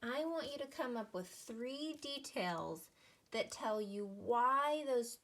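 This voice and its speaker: A woman teacher reading a children's story aloud and explaining it in a deliberate way for first graders. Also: a little high, expressive, a bit bright. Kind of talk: read-aloud story narration and lesson instruction.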